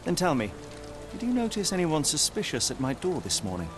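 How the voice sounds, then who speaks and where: Casting: a pompous, sardonic British detective for a game, animated higher voice, music underneath. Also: male voice, a little fast. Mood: inquisitive. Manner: pompous, precise, wry. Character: brilliant, haughty young male detective, impatient and sardonic. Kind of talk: video game cutscene acting.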